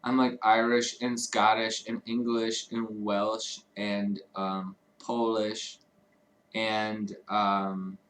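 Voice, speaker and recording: A man's voice: fairly low pitch, flat delivery, slow, fairly bright tone. A man from Chicago co-hosting a livestream, chatting casually in a rambling, profane way and laughing often. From Chicago, United States. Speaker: livestream co-host. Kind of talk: casual chat. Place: home streaming studio.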